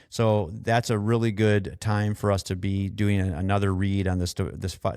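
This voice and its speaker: A man hosting a podcast as an experienced firefighter, explaining things earnestly in a conversational, halting way with repeated and restarted phrases. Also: fairly low pitch, a little mellow, no echo, clean studio sound.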